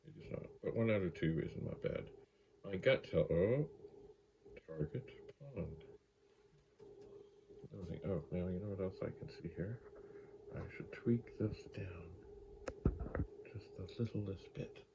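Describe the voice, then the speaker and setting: Low, gravelly man musing aloud. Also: slow pace, pauses often. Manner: rambling, musing to himself. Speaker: host of a vintage computer video series. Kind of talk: casual unscripted hands-on demonstration chat. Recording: background hum and noise. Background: gentle tape machine hum, clicking.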